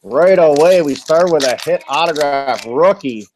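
A man's voice: fairly high pitch, animated, unhurried, darkish tone, a little hiss or hum. A man hosting a card box break, announcing each item in clipped, short bursts. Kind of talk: live card-opening commentary, terse announcing. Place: card shop with onlookers seated nearby.

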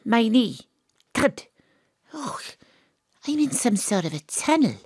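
An actress playing a troubled, weary woman private detective with a dramatic, halting delivery in an audio drama. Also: a little high, big pitch swings, a little mellow, breathy edge, no room sound, studio quality, heavily acted. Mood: tense, confused.

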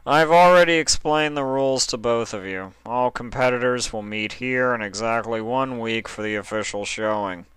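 A man's voice: medium pitch, moderate pace, few pauses, a bit bright, smooth, no echo, voice pushed up, close to an everyday voice, rough recording. A man voicing a stern male pony official in a storybook reading, matter-of-fact and unbending. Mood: businesslike.

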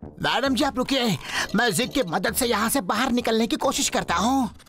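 An actor voicing an excitable, cowardly male shark in a cartoon dub, whiny and melodramatic. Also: medium pitch, normal speed, a little hiss or hum, lightly acted. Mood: nervous. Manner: melodramatic, exclamatory. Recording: loud music under the voice. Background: loud cartoon music.

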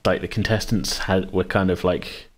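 Podcast host and game master: British man, low voice, loose, rambling, jokey conversational delivery. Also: steady tone, normal speed. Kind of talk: casual chat about a tabletop game.

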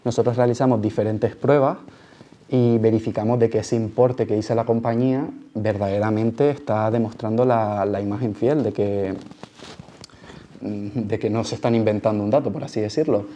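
A man's voice: lowish voice, fairly even intonation, moderate pace, mellow, slight background noise. A man in his twenties with an accent from Spain, explaining his work to students as a junior auditor on a panel. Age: 20s. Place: large auditorium, panel table.

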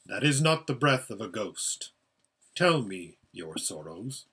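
A man voicing an unseen great lion in a storybook, solemn and measured, with a large deep voice. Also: big pitch swings, a bit bright, breathy edge, full character voice. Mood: calm, solemn.

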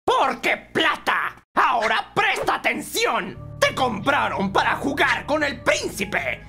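A greedy imp circus father in a cartoon dub with a Latin American Spanish accent. His voice is high and sharp, swinging wildly as he bullies and manipulates, with faint music behind him. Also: wildly swooping pitch, slow, vocal fry, full character voice. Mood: commanding, greedy. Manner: forceful, manipulative. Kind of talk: animated cartoon fan dub.

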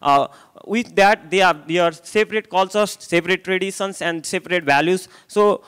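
A young man with a Nepali accent speaks into a handheld microphone at a conference. His voice sits fairly high and sounds clean and smooth. He hesitates often, filling pauses and restarting phrases. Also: fairly bright tone. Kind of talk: conference presentation. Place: hotel conference room, indoors.

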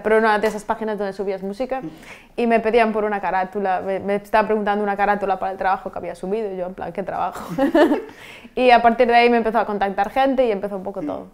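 A woman, answering interview questions in a relaxed way with frequent restarts, recorded in a studio on a lapel mic. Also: medium pitch. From Vigo, Galicia, Spain. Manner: relaxed, many restarts and trailing-off endings. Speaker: guest rapper and software engineer. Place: TV studio set.

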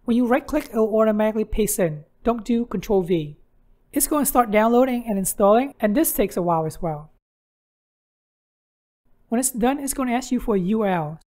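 A woman's voice: a little high, lively intonation, brisk, mellow. A woman hosting a how-to tutorial, narrating instructions step by step. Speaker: tutorial host.

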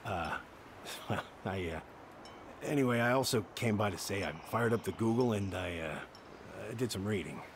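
An actor playing an awkward, kindly middle-aged backwoods handyman in a video game, halting, rambling and folksy. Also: fairly low pitch, expressive, slight background noise, lightly acted. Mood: sheepish, sincere. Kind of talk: naturalistic video game acting.

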